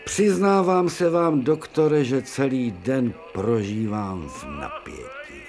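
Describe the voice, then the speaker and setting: An actor playing an irritable, commanding elderly surgeon-professor in a radio play. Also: male voice, medium pitch, animated, darkish tone, faint music behind, close to an everyday voice. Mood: weary. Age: older. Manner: authoritative, commanding, sardonic.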